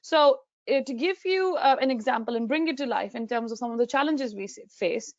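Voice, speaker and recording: A woman from Pakistan with a Pakistani accent, giving an expressive, conversational webinar talk straight to her audience over a muffled video call. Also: fairly high pitch, fairly quick, no room sound. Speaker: guest speaker, council digital officer. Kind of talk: live webinar presentation.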